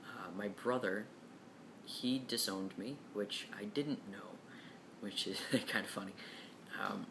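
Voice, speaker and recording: A young man talking frankly and casually to camera, with many pauses and repeated words. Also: lowish voice, gravelly, background noise. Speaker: speaker answering class questions.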